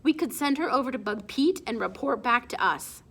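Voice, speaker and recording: Woman voicing an excitable, high-pitched scornful boy. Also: moderate pace, slightly rough, heavily acted. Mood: teasing, scheming. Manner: calling out, mocking. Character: boy lookout, excitable, scornful best friend.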